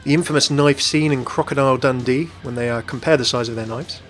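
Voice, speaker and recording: Male presenter for scripted gaming explainer, mid-pitched and brisk, over light music. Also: fairly even intonation, fairly bright tone. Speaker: video presenter and narrator.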